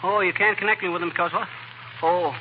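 An American actor playing a folksy, excitable middle-aged husband full of schemes in a 1940s radio comedy. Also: male voice, high-pitched, quick pace, lo-fi sound, lightly acted. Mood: expectant, then let down. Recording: band-limited, hissy 1940 radio broadcast.

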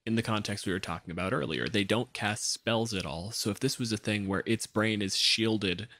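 A man's voice: fairly low pitch, normal speed, bright, forceful tone, dry recording, clean studio sound. A man chatting casually as a podcast panelist, analytical and opinionated in the way he talks. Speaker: podcast panelist discussing tabletop game monsters. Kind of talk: casual chat.

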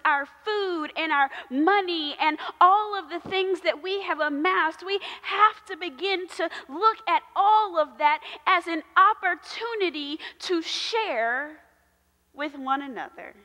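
A woman pastor in her thirties preaches in a big church sanctuary, her voice high and bright. She takes her time, leaning on slow, emphatic repetitions. The room carries a long ringing reverb. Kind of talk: sermon.

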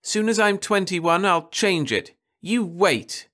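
A man's voice: high voice, slow, pushed and bright, voice pushed up, heavily acted. A man voicing a wailing, petulant young woman. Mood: sulky. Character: whiny, sulky nineteen-year-old girl.

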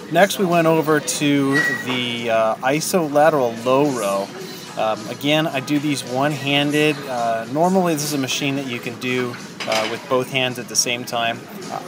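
Man, 30s, laid-back fitness vlogger talking through a workout; music bed clearly audible. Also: mid-range voice. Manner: relaxed, many ums and uhs. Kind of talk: casual workout voice-over.

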